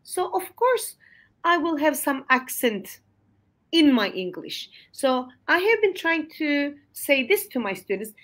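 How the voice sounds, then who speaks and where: A woman's voice: high voice, big pitch swings, measured pace, a bit bright. A woman with a Turkish accent, a university lecturer speaking hesitantly with fillers on a webinar. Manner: hesitant, many fillers and restarts. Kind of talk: webinar closing remarks.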